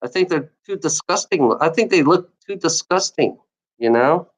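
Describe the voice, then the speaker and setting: A woman's voice: sits low, lively intonation, moderate pace. A woman streamer who stutters, ranting at her chat in a rambling, repetitive way with frequent restarts and swearing. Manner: repetitive, restarts phrases, profane. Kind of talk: rambling livestream rant at chat trolls.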